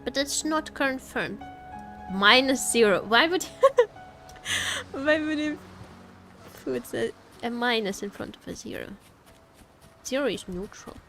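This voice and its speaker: Playful woman streaming a game, high lively voice, kinda slow talker, repeats herself to chat. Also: soft background music. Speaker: livestreamer playing a game. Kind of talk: casual chatty game stream commentary.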